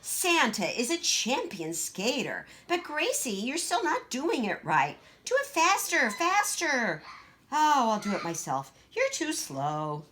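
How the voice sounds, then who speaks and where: A woman's voice: sits high, wildly swooping pitch, takes it slowly, pushed and bright, voice pushed up, heavily acted. A woman voicing a bossy, boastful, chatty little girl in a children's storybook, with an emphatic, gushing know-it-all manner. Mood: bossy, excited.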